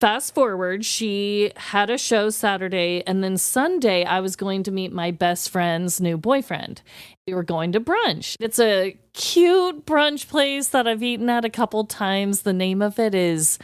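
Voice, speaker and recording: A woman's voice: medium pitch, measured pace, smooth, no room sound, studio quality. An American woman from the Midwest telling personal stories casually as a podcast host, chatty and effusive. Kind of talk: casual chat, personal storytelling.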